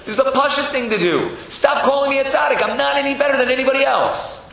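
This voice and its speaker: A man's voice: fast talker, vocal fry, bright, forceful tone, full character voice. A man voicing a humble, exasperated holy man in a religious story, protesting insistently. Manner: insistent, high, exclamatory. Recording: very echoey room, distant mic, dull.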